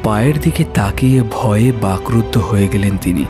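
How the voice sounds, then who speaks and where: Low-voiced man narrating horror suspensefully. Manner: suspenseful, with dramatic pauses. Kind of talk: dramatic horror story narration. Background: soft music.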